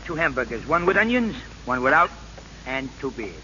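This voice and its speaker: An actor playing a brisk, folksy lunch-counter man in an old radio drama, on a muffled, hissy recording. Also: medium pitch, big pitch swings, bright, forceful tone. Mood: businesslike. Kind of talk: 1930s-40s radio drama acting.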